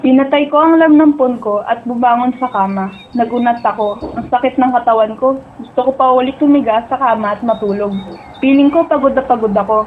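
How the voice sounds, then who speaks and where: A woman's voice: on the high side, a little fast, slight background noise, natural voice. A young woman with a Filipino accent playing a dreamy teenage schoolgirl who prefers dreams to reality, in radio drama dialogue and first-person narration. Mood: reflective. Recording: tinny narrow-band audio with music behind.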